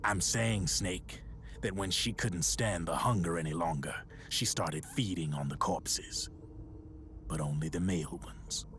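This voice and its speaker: A man playing a folksy, knowing informant in a video game, grimly recounting horrors in measured storytelling. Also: sits low, a bit bright, faint music behind. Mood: grave, insistent. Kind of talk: dramatic game cutscene monologue.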